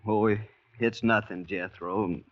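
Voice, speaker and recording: A male actor portrays a fugitive former slave owner in a vintage radio play. His low voice is hesitant and uneasy, evasive in manner. The sound is dull and lo-fi. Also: steady tone, a little slow, lightly acted. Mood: nervous, evasive. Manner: hesitant, nervous. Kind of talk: old-time radio drama acting. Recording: muffled old radio broadcast.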